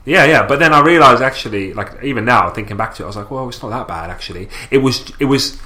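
Bright-voiced young man, hesitant. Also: mid-range voice, fast talker. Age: young adult. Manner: hesitant, thinking aloud, frequent restarts. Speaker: podcast co-host. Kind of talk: casual chat.

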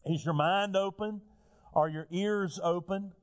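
A male pastor preaching a sermon while fighting a cold, his voice a little raspy, building earnest lists of repeated phrases. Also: high-pitched, a little slow. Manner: earnest preaching, repetitive lists, rising emphasis. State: has a cold, slightly raspy.

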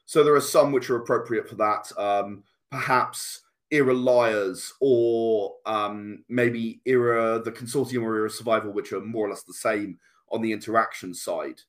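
A man's voice: on the low side, steady tone, moderate pace. A man speaking as a guest game designer in a casual interview, explaining in long, enthusiastic runs.

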